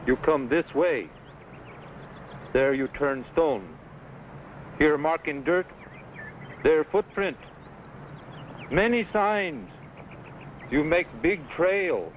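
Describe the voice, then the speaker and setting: Slow-talking Native tracker, halting speech. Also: male voice, fairly high pitch. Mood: instructive. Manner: halting, clipped broken English. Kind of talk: 1950s radio adventure acting. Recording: dull lo-fi old radio, faint noise.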